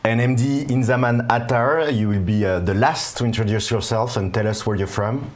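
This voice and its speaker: A man's voice: mid-range voice, normal speed. A man hosting a live question-and-answer webinar, putting questions to guests. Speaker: host.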